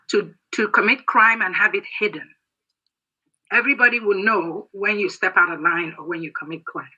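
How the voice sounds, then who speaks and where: A man in his sixties from Nigeria giving an earnest, emphatic prepared speech as a guest, halting now and then. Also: high voice, bright, forceful tone, poor audio. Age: 60s. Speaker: guest speaker.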